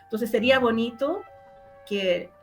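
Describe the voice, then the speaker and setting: A woman's voice: on the high side, expressive, a little slow, faint music behind. A woman with a Chilean accent, speaking casually as a guest in an online interview. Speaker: interview guest, sound artist.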